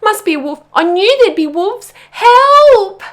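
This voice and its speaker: A timid little teddy bear from a children's storybook, acted out by a woman, wailing high and loud. The bear is fretful and easily panicked, always expecting the worst. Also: big pitch swings, a little slow, full character voice. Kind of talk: children's storybook read-aloud.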